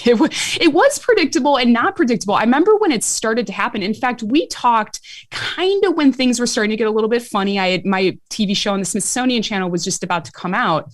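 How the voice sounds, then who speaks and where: A woman with a Chicago accent, an author and medical historian answering interview questions in an animated way, laughing easily. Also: a little high, quick pace, no room sound, clean studio sound. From Chicago, USA.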